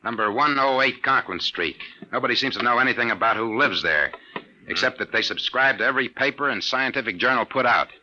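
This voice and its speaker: An actor playing a tough, blunt private detective in clipped 1950s radio drama style. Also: male voice, medium pitch, animated, a little fast, sharp tone. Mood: businesslike. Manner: hard-boiled, clipped. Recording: dull old radio drama recording.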